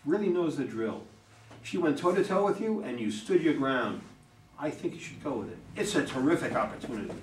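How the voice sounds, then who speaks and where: A man's voice: medium pitch, sing-song, fairly quick, close to an everyday voice. A man playing a blunt, pragmatic political campaign manager in a play reading, emphatic and urging. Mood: persuasive. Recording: echoey room, distant, noisy.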